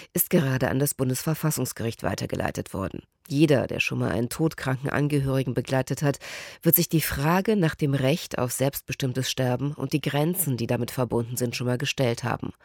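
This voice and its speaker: A male radio presenter reads a scripted introduction in standard German. His voice is medium in pitch, and the sound is close and dry without room echo. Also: a little mellow.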